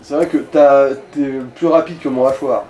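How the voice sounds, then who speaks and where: A man's voice: mid-range voice, fairly even intonation, moderate pace, warm tone, reverberant, lo-fi sound. A man giving casual, coarse and slangy gaming commentary as he guides another player. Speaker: let's play co-host guiding the player.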